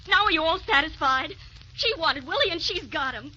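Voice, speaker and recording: An actress playing a proud, wounded young fiancée in an emotional, theatrical old radio drama style. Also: female voice, very high-pitched, animated, moderate pace, sharp tone, full character voice. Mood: furious, anguished. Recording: dull, noisy old radio recording.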